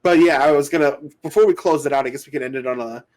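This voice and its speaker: A man's voice: fairly high pitch, rapid-fire, darkish tone. A man co-hosting a podcast, joking loosely and laughing often through casual chat. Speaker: podcast co-host.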